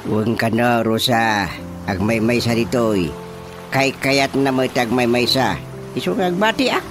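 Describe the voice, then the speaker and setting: A man acting a stubborn elderly father with drawn-out interjections in an Ilocano radio drama, with music underneath. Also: medium pitch, close to an everyday voice. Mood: firm. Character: stubborn elderly father, set on leaving home.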